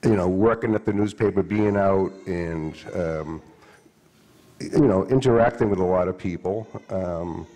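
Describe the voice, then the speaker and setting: An older author recalling his past on stage, halting and soft with many pauses. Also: low-pitched. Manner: halting, storytelling, lots of fillers and restarts trailing soft. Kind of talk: onstage conversation. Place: stage of a hall with an audience. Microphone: handheld mic. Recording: long hall reverb.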